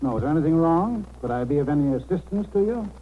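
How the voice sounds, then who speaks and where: An actor playing a kindly, generous, well-spoken country householder in a 1930s radio drama. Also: male voice, on the high side, animated, fast, narrow, tinny audio, natural voice. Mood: helpful. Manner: genial, helpful, well-spoken. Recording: noisy 1930s radio broadcast.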